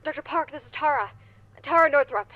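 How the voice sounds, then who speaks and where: A woman's voice: sits high, fairly quick, narrow, tinny audio, natural voice. An actress playing an earnest, worried young woman in a visual novel game. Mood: urgent, worried.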